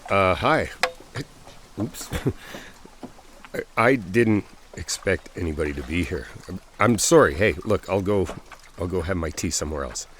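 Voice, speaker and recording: A man's voice: deep voice, animated, measured pace, pauses often, a bit bright. A man playing a friendly, bashful stranger in a boyfriend roleplay audio, talking casually and a little hesitantly. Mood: flustered, apologetic. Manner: hesitant, apologetic, chuckling, conversational. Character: friendly, bashful male stranger at a lakeside trailer park. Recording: outdoor water ambience noise.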